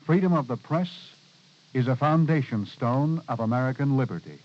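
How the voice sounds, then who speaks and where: Male announcer, mid-range voice, solemn and declamatory scripted public service read, dull vintage radio sound. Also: medium pitch. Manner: formal, measured, declamatory.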